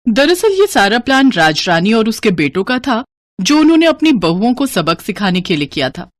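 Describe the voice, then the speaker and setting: A woman narrates a dramatic scripted story. Her pitch is mid-range and moves in lively swings. The recording is dry, with no room sound. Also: normal speed, no echo.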